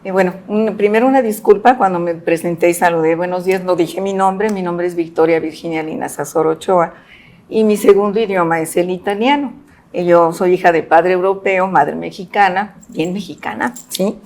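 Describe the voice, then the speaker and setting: Lively storytelling woman interpreter. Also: fairly low pitch, moderate pace. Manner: lively storyteller, acts out quotes. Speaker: interpreter panelist. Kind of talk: panel discussion, anecdote.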